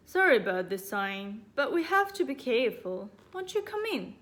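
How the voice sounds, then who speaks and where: A woman's voice: fairly high pitch, big pitch swings, moderate pace, a bit bright, pitched above the performer's own voice. A woman voicing a polite, apologetic, homely mother bear from a children's storybook.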